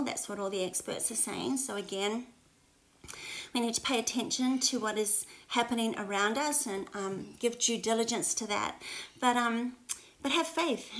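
A woman's voice: a little high, rough recording. Chatty Australian woman in her sixties. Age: 60s. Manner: chatty, warm, prayerful, many fillers. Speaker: pastor. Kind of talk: casual livestream devotional chat. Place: spare bedroom at home.

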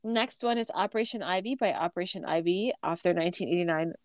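A woman's voice: fairly low pitch, quick pace, no echo, clean studio sound. A woman co-hosting a casual podcast, talking chattily with many fillers and laughs, a little stuffy from a recent illness. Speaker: podcast co-host. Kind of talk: casual chat.

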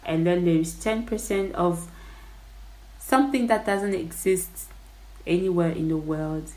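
A woman's voice: lowish voice, a little slow, warm tone, roomy sound, poor audio. Congolese woman with a French-tinged accent. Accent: French-tinged Congolese English. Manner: reflective, thinking aloud. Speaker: podcast host. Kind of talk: casual behind-the-scenes monologue.

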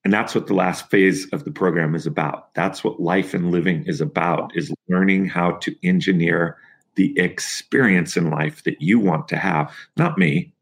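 A man's voice: low-pitched, normal speed, gravelly, slightly rough. A man in his fifties, a nutrition coach talking as a guest on a podcast interview, emphatic and motivational. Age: 50s.

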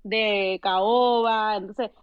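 A young adult woman from Puerto Rico with a Puerto Rican accent, a podcast guest telling stories in relaxed chat, mixing Spanish and English. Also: mid-range voice, very slow, smooth, highs cut off. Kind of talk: casual interview chat.

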